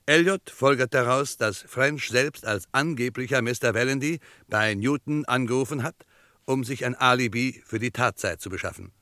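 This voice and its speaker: A man's voice: mid-range voice, moderate pace, bright, forceful tone, no echo, studio quality. A man narrating a 1960s radio play recap in a formal, precise, scripted manner.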